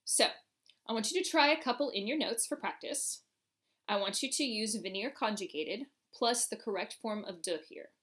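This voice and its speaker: A woman teaching a recorded French grammar lesson, explaining step by step and enunciating examples deliberately. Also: medium pitch, a bit bright.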